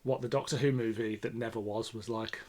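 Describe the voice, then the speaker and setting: Man, medium pitch, podcast host, casual discussion, informal, thinking out loud. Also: steady tone, fairly quick, crowd noise.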